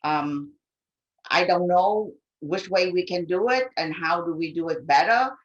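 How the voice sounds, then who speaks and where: A woman who speaks English as a second language, talking earnestly and haltingly in a panel discussion. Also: on the low side, highs cut off. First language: not English. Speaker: immigrant and refugee advocate on a panel.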